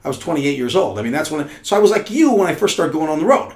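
A man telling road stories as a comedian guest in casual chat, animated and swearing, acting out quotes. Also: on the high side, very fast. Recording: echoey room.

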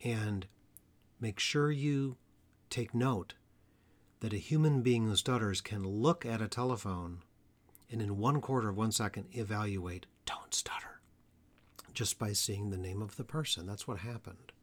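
A man hosting an educational podcast about stuttering, speaking in an earnest, measured way and slowing down on key phrases. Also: lowish voice. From Atlanta area, US. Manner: earnest, measured delivery with slow emphatic phrases. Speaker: podcast host, stuttering therapist. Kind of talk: solo educational podcast talk.

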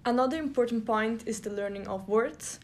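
A young woman from Italy, raised speaking Italian and German, reading prepared podcast notes in a soft, steady way. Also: fairly high pitch, a little fast, slight background noise. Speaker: podcast co-host.

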